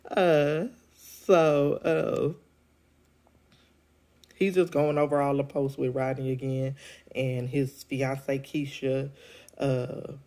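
A middle-aged woman with a deep, congested voice, chatting casually through a show review with lots of ums and laughs. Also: flat delivery, slow pace, pauses often, dark tone. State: congested from a cold. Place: indoors at home. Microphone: phone held at arm's length.

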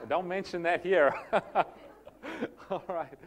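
A man's voice: high-pitched, lively intonation, drawn-out words. A man in his thirties from South Africa, with a South African accent, speaking as a guest pastor in a friendly, joking, conversational way into a handheld microphone in a church hall. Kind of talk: sermon introduction.

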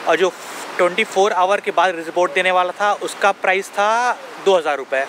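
A man's voice: high voice, pushed and bright. A young man from India vlogging to a camera held at arm's length on an outdoor lawn, explaining points earnestly and asking rhetorical questions. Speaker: travel vlogger. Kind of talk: casual travel vlog talk to camera. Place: outdoors on a grassy campus lawn. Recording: outdoor noise behind voice.

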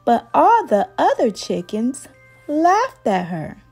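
A woman reading a story aloud to young children in an expressive, singsong storytelling manner. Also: sits high, slightly rough. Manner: slow, expressive, singsong delivery. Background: background music.

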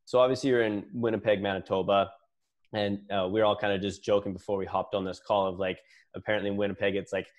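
A man's voice: lowish voice, level tone, quick pace. A young man hosting an interview in a casual, chatty way. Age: young adult. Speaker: interview host. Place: room at home. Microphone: wired earbuds on a video call.

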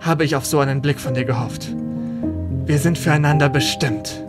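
An actor playing an officious, courtly British officer in a comic radio play. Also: male voice, a little high, fairly even intonation, moderate pace, over loud music, natural voice. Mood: lovestruck.

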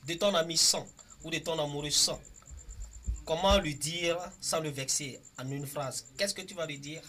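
A man hosting a radio game show, quizzing and teasing his guests in lively banter. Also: on the high side, moderate pace, slight background noise. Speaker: radio quiz host.

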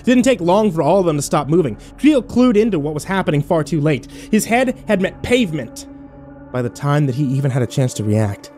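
Casting: male actor as sardonic young survivor narrating horror drama, mellow mid-range voice, faint music bed. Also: on the high side, sing-song, brisk. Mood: tense narration. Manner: wry, sweary, self-deprecating. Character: sarcastic, battered young man telling his own nightmare. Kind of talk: first-person horror audio drama narration.

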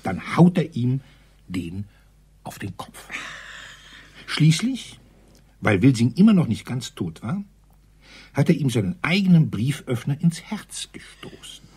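An actor playing a rambling colonel in a German radio crime drama, hesitant then emphatic, with a husky, breathy voice. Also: male voice, mid-range voice, very animated, unhurried, pauses often, mellow, heavily acted. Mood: dramatic, emphatic.

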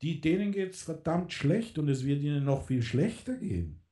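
Rambling man, dark mellow voice. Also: fairly high pitch, animated, normal speed. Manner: rambling, many fillers and restarts. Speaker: guest. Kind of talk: casual political radio talk.

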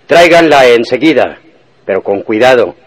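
A man's voice: mid-range voice, expressive, normal speed, lightly acted. A man playing a suspicious, commanding crime boss in an old radionovela style, authoritative and questioning. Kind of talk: Spanish-language radionovela acting.

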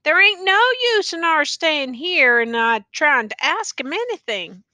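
A woman's voice: sits high, big pitch swings, a little slow, bright, forceful tone, no echo, voice pushed up, heavily acted. A woman voicing a weary, ragged male soldier who talks in a rural dialect and jokes through his pain. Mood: musing. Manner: rural dialect, drawling and musing.

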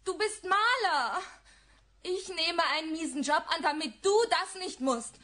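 An actress playing a fiery, dramatic young actress in a German radio crime drama. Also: female voice, extremely high pitch, lively intonation, measured pace, bright, forceful tone, exaggerated voice. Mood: angry. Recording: studio radio play with music behind.

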